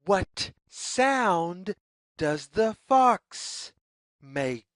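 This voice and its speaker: A woman narrates a children's storybook at an extremely unhurried pace. Her pitch swings up and down in a sing-song way, with careful, deliberate phrasing. The recording is dry, with very little room sound. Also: sing-song, no room sound. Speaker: children's read-aloud narrator, teacher. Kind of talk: slow, expressive picture-book read-aloud for young children.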